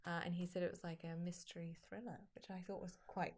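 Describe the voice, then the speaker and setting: A woman's voice: fairly low pitch, flat delivery, fast talker, darkish tone. A woman talking casually about her comic on a live stream, chatty and self-deprecating with frequent restarts. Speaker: comic writer co-host.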